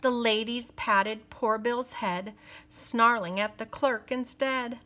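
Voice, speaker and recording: Slow, expressive woman reading a picture book. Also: fairly high pitch. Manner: expressive, deliberate storytelling for children. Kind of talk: animated picture-book read-aloud.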